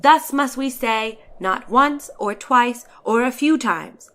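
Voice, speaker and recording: A woman giving a dramatic, expressive audiobook reading of a satirical story in letters, stretching some phrases for effect. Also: a little high, takes it slowly. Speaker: narrator of a classic short story.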